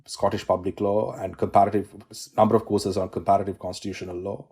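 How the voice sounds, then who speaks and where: Low mellow guy, law academic from sri lanka, 40s, lots of ums and restarts, video call. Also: little pitch movement, slightly rough. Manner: measured, academic, many "um"s and restarts. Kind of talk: conversational interview answers.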